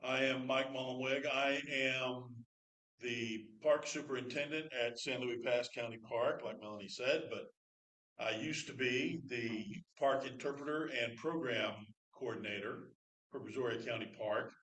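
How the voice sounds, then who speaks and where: A middle-aged man, giving a relaxed, loud informal lecture in a classroom with no microphone. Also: mid-range voice, a little slow. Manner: loud, relaxed, folksy delivery. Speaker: park superintendent giving a training talk. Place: classroom with projector screen, indoors. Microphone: far camera, no microphone. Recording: echoey room, speaker far from mic.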